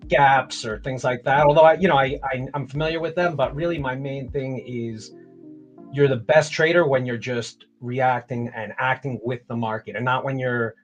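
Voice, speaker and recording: A middle-aged man talks in a relaxed, meandering way, often restarting his sentences. His voice sits at an ordinary middle pitch. Faint music plays behind him. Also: highs cut off. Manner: laid-back, rambling, frequent restarts. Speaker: guest trader. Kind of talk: casual livestream chat.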